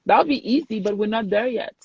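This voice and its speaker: A woman's voice: fairly high pitch, fast, creaky, breathy edge, dry recording. A woman from Haiti, talking earnestly and emphatically in a casual conversation, repeating words for weight. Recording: dry, dull lo-fi sound.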